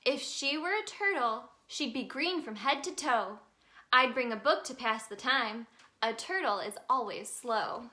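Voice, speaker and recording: A woman reading a children's story aloud in an expressive, sing-song way for young listeners. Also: a little high, unhurried. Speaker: children's storybook reader, in a tooth fairy persona.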